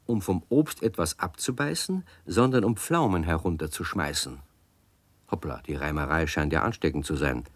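A male storyteller with a lowish, animated voice narrates for children. His delivery is measured and scripted, and the recording is dry and close. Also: no echo.

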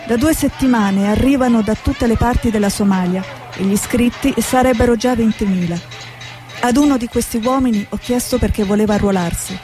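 An actress playing an earnest, idealistic female war reporter, speaking in a measured, reflective, theatrical way. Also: a little high, close to an everyday voice. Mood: reporting. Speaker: stage actor. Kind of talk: stage drama, dialogue and reflective monologue.

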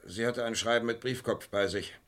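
An actor playing a matter-of-fact, quietly sharp detective assistant in a crime radio play, dry and understated. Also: male voice, medium pitch, moderate pace, natural voice. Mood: dry, factual.

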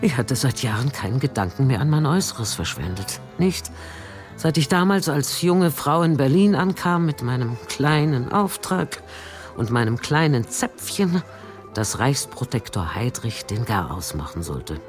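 A woman's voice: extremely low pitch, sing-song, unhurried, soft background music, full character voice. An older actress playing a weary, sardonic former spy, narrating her memories dryly in a radio play. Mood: bitter reflection. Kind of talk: radio play narration, first-person memoir.

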